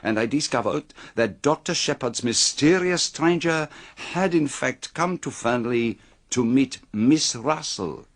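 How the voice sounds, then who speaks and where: A precise and theatrical detective from a radio mystery. His delivery is slow and deliberate, full of pauses, and his mid-range pitch moves expressively as he lays out a case with formal authority. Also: lively intonation. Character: precise, theatrical male foreign detective revealing a murderer. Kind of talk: radio mystery drama acting.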